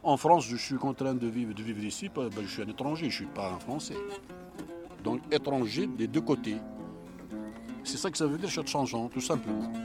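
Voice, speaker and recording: A man's voice: mid-range voice, moderate pace. Earnest man with music behind. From Algeria. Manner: earnest, repeats himself and restarts, rising emphatic stresses. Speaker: interviewee. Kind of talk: reflective explanation.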